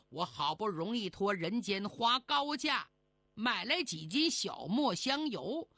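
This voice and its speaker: Sneering male immortal, played by a woman storyteller: grumpy, crude, scornful, animated. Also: on the high side, no room sound, exaggerated voice.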